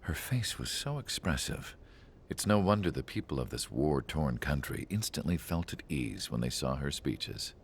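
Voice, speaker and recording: A man playing a calm, reflective narrator in a game cutscene, telling the story in a measured, wistful way. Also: rumbling low, gravelly, slight background noise, full character voice.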